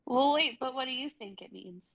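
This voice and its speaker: A woman's voice: high voice, animated, a little fast, gravelly, no room sound, phone-quality sound, pitched above the performer's own voice, heavily acted. A woman reading the part of an anxious, searching young woman traveler in a stage play, hesitant and earnest. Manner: hesitant, earnest, self-dismissing.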